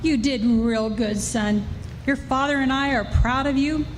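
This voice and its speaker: A woman's voice: high-pitched, measured pace. Woman as a proud frontier mother, echoey hall. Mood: proud, tender. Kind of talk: live Western radio play acting. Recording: echoey hall with clear noise.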